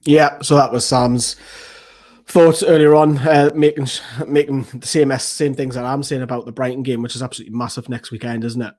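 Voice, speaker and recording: A man, hoarse from losing his voice, giving an excitable, rambling post-match football analysis as a fan channel host. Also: medium pitch, brisk. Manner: excitable, swears freely, rambling restarts.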